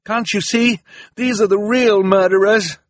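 A man voicing a desperate, indignant young boy in an audiobook, shouting urgently. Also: high voice, fairly even intonation, measured pace, dry recording, voice pushed up.

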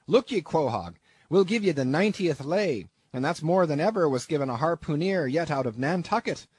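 A man voicing a gruff, blustering old sea captain who shouts his orders in old-fashioned seafaring speech. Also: on the high side, sing-song, unhurried, no room sound, pitched above the performer's own voice, exaggerated voice.

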